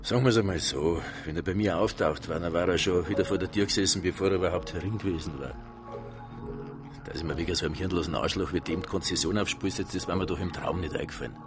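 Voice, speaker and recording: Actor as deep-voiced, slippery Bavarian club boss. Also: male voice, fast, over music, natural voice. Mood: dismissive. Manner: cocky, evasive, colloquial. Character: slippery, self-important male nightclub manager with shady past. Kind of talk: naturalistic radio drama acting.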